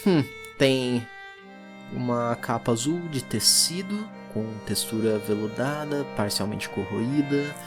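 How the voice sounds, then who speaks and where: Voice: medium pitch, slow, natural voice. A performer with a Brazilian accent playing a weary, methodical occult archivist, musing hesitantly as they dictate an audio drama log. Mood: reserved, musing. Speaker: narrator. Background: music.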